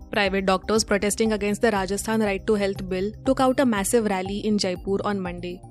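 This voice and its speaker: A woman's voice: mid-range voice, darkish tone. Indian woman reading news over music. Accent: Indian English. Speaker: news presenter. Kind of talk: scripted news bulletin reading.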